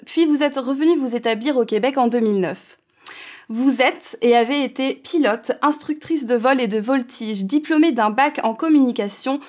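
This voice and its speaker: A woman with a Québécois French accent interviewing an author, reading polished prepared introductions and questions. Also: fairly high pitch.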